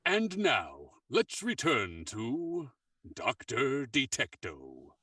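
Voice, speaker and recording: A man's voice: mid-range voice, huge pitch swings, very slow, sharp tone, slightly rough, no room sound, clean studio sound, recorded from a distance, full character voice. A man acting a polite, long-suffering announcer in a 1940s radio show style. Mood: grandly announcing.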